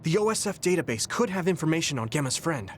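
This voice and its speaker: A man playing an earnest, caring young soldier in a video game, speaking sincerely and clearly. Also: on the high side, big pitch swings, fast talker, a little hiss or hum. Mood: concerned, helpful. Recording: background music under dialogue. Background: game soundtrack music.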